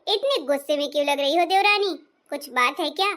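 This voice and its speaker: A woman's voice: high-pitched, a little fast, a bit bright. A woman voicing a meddling elder sister-in-law in a cartoon, mocking and stirring up trouble. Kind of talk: cartoon voice acting.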